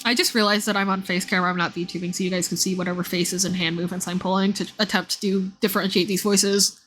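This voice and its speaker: A woman's voice: on the low side, level tone, quick pace, a bit bright. A woman streamer giving casual, chatty live commentary and explanations of a game's story. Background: music.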